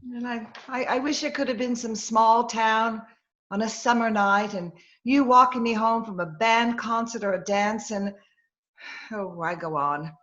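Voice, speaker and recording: Woman acting wistful glamour girl, highish voice. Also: unhurried, fairly bright tone, highs cut off. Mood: tender, regretful. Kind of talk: 1940s radio drama acting. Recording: remote call with faint crowd behind.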